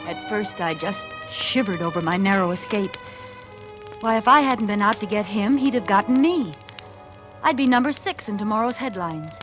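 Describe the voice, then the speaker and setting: A jittery woman crook played by an actress tells her story in vintage 1940s radio thriller style. Her voice sits at a medium female pitch and sounds hunted and guarded, with faint music in the old recording. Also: a bit bright, close to an everyday voice. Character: jittery female petty crook narrating her fear of a killer. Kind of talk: 1940s radio thriller acting, first-person narration.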